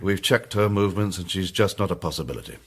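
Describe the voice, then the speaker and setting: An actor playing a methodical police chief inspector in a period detective radio drama, speaking in a formal, measured, matter-of-fact way. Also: male voice, low voice, lively intonation, slightly rough, full character voice. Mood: businesslike.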